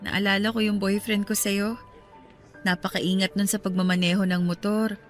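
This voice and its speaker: A woman playing a gentle, wistful grieving woman who talks softly and hesitantly, in a radio drama. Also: mid-range voice, flat delivery, moderate pace, soft background music, lightly acted.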